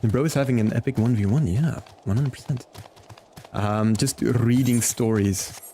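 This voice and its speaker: A man in his twenties streaming a video game, chatting casually and relaxed with viewers. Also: medium pitch, normal speed, slight background noise. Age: 20s. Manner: chatty, relaxed, chats with viewers while playing.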